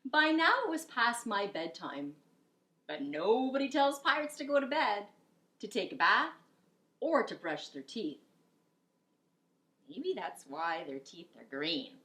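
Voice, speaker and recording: Animated woman reading a children's story. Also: mid-range voice, a little slow, long pauses. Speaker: storybook reader, teacher. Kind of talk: expressive children's story read-aloud.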